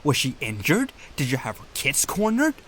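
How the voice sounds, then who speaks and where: A man plays a young male animal in an animated drama, a doubting nephew with a high voice. His pitch moves around a lot as he questions everything in a wary, sardonic way. There is faint noise in the background. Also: normal speed. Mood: challenging, wary. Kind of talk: dramatic fandub dialogue.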